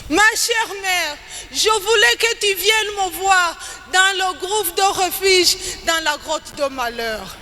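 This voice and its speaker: High-voiced woman declaiming poetry in a hall. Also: animated, sharp tone. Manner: slow, emphatic, declamatory delivery with rising and falling phrases. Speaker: poet reciting on stage. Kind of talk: dramatic spoken-word poetry recitation. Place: large hall at a live event. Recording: echoey hall with background noise.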